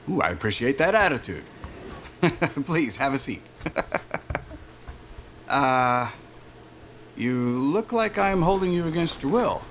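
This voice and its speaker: Animated, creaky-voiced affable corporate man. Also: medium pitch, slow, pauses often, a little hiss or hum, full character voice. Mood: jovial, reassuring. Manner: jovial, chuckling, hesitant, smoothly corporate. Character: affable, chatty male corporate executive interviewer. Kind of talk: naturalistic audio drama acting.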